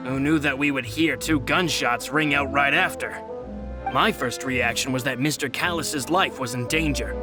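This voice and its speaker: An actor playing a loyal, earnest subordinate who speaks deferentially and deliberately in a video game cutscene. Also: male voice, on the high side. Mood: grave recollection. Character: loyal male subordinate bodyguard, earnest and measured.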